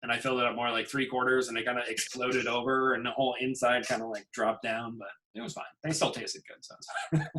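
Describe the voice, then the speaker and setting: A man, an instructor, chatting casually with his students on a video call, relaxed and chuckling as he trails off. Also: mid-range voice, fairly quick, low-quality recording. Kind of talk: casual class meeting chat. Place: home.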